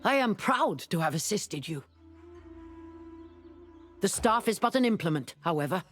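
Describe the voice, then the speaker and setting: A woman's voice: medium pitch, animated, measured pace, soft background music, close to an everyday voice. A woman playing a wry, commanding sorceress who mentors a young hero in a fantasy video game, speaking formally and with urgency. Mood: grave, resolute. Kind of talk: video game character dialogue.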